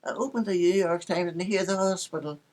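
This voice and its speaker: An elderly Northern Irish woman looks back on her life in casual conversation. Her voice sits on the low side for a woman, and she tells anecdotes chattily, with a dry sense of fun. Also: fairly quick, darkish tone. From Northern Ireland. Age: 80s. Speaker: interviewee recalling her life. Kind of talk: casual reminiscence, storytelling.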